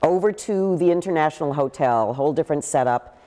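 An older actress telling stories in a seated interview, with a deep, big voice and lively gestures. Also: female voice, fairly quick, long reverb tail. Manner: expressive, gestures a lot while talking. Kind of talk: animated interview storytelling. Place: living room with a pool table, indoors.

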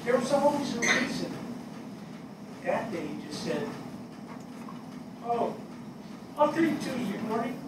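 An older man playing a grieving, bewildered fire captain in a staged dramatic reading, speaking plainly and haltingly. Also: very high-pitched, very animated, recorded from a distance, heavily acted. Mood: bewildered grief. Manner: plain-spoken, halting, searching. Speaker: actor in a staged play reading. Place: small indoor theatre stage before an audience. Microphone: distant camera mic. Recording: echoey stage picked up from afar, noisy.

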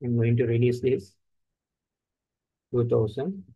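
A man with an Indian English accent giving terse, step-by-step instructions in a screen-recorded software tutorial. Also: medium pitch, slow pace, slightly rough, no echo, highs cut off.

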